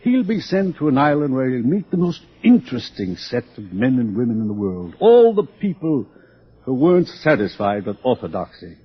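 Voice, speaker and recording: A man's voice: mid-range voice, sing-song, normal speed, husky. A man playing an urbane, smug older world controller in a theatrical radio drama, pompous and patronizing as he lectures. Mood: dry, commanding. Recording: dull, lo-fi radio drama recording.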